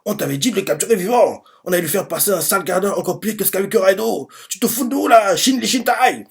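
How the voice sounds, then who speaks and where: A man's voice: a little high, big pitch swings, moderate pace, creaky, slightly rough, full character voice. A man voicing furious, vengeful soldiers from a manga, hurling crude threats and indignant insults. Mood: furious, threatening.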